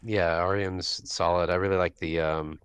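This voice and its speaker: A man's voice: deep voice, fairly even intonation, moderate pace, fairly bright tone, smooth, no room sound. A man co-hosting a podcast, talking casually about music in a laid-back way and explaining songwriting terms. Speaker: guest co-host.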